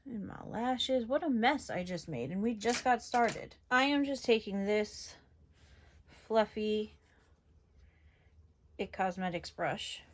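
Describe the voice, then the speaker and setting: A woman's voice: medium pitch, expressive, slow, pauses often, slight background noise. A young woman from New York with a general northeastern American accent, chatting casually and rambling as she tests makeup on camera. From New York, USA. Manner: chatty, rambling, lots of fillers. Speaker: beauty vlogger. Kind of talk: casual makeup tutorial chat.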